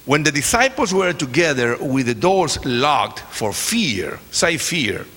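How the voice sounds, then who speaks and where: A man's voice: on the high side, sing-song, takes it slowly, fairly bright tone, faint music behind. A man from Chile, a native Spanish speaker with a Chilean accent, preaches informally as a guest speaker to a congregation, often hesitating as he goes. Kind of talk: sermon with informal, joking remarks to a congregation. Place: large church, speaking from the stage. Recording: reverberant church hall, congregation noise.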